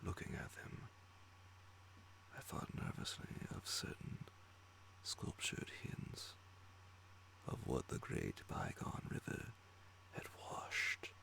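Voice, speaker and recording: Slow, gravelly whispering man reading. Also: rumbling low. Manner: slow, deliberate, hushed phrasing. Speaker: audiobook reader of horror stories. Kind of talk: ASMR whispered bedtime story reading.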